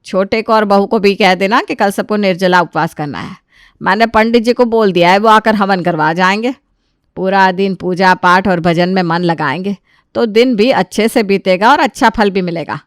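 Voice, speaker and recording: A woman's voice: mid-range voice, moderate pace, dry recording, clean studio sound, voice pushed down, natural voice. A woman voicing a stern, devout and domineering older Indian mother-in-law in a moral story, with a commanding, scolding manner. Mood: bossy, pious. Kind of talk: acted dialogue in a moral story.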